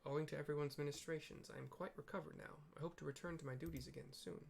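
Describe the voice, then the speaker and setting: A man's voice: on the high side, fairly even intonation, fast, creaky, soft background music. A man voicing a humbled, sincere male hero in a fantasy game, pledging loyalty in formal, heartfelt words. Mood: contrite, resolute.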